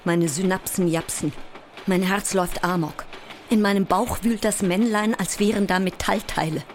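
A woman playing a sleepless, overwrought actress close to breakdown in a theatrical monologue, intense and fragmented, often slipping into breathy whispers. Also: deep voice, takes it slowly, a little mellow, slight background noise. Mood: frantic. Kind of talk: theatrical audio drama monologue.

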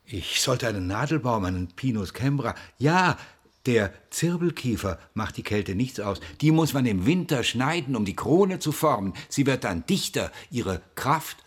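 A man's voice: mid-range voice, sing-song, moderate pace, slightly rough. An actor playing a lonely, grieving older father who tends bonsais, musing in sparse radio drama monologue. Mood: grieving, tender.

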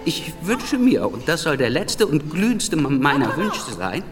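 A nervy young would-be revolutionary for a radio drama. His voice sits a little high and he stammers, catching on consonants. A long hall reverb trails behind him. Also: sing-song, unhurried, over music. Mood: wry, excited. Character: nervy, rebellious young failed lawyer and would-be writer. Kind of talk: German radio drama acting.

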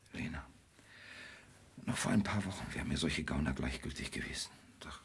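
An actor playing a brooding, detached gentleman in a radio drama, speaking reflectively and often hushed, with sudden urgency. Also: a bass voice, gravelly. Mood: intimate, troubled. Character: brooding, detached gentleman in love with an endangered woman.

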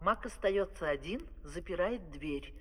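High-pitched, unhurried male narrator. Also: expressive, a bit bright, breathy edge. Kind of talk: scripted storytelling narration of stage action. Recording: reverberant vintage vinyl record.

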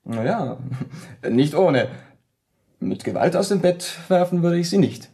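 An actor playing a dutiful yet coarse assistant detective in a German radio play, terse and casual. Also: male voice, a little high, expressive, measured pace, gravelly, dark tone. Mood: matter-of-fact.

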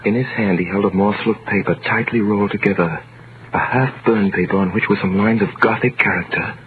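An actor in an old radio drama playing a devoted, wrongly imprisoned man, narrating and speaking in a measured, dramatic style. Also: fairly low pitch, fairly even intonation, noisy background, natural voice. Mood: somber, reflective. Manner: measured, dramatic, literary delivery. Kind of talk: 1930s-40s radio drama narration and acting. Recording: muffled vintage radio recording with music.

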